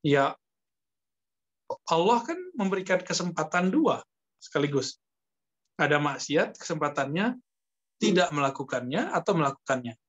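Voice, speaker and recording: A man giving a conversational Islamic lecture as a preacher, explaining in a halting way with pauses. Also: a little high, lively intonation. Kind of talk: religious lecture teaching from a book, conversational. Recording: dull lo-fi lecture recording.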